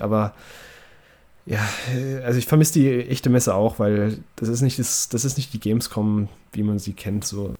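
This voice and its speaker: A young adult man with a head cold, hosting a casual podcast chat in a relaxed, conversational way. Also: medium pitch. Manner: relaxed, conversational, with fillers and restarts. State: congested from a cold. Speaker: podcast host.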